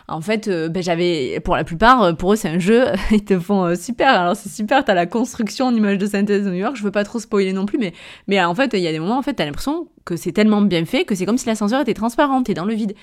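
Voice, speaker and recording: A woman's voice: mid-range voice, animated, fast. A woman with a southern French accent hosting a podcast, telling a personal story in a casual, rambling way with lots of hesitations and self-corrections. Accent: southern French, Avignon. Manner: chatty and rambling, full of hesitations, self-corrections and brief laughs; addresses the listener familiarly. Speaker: podcast host, entrepreneur.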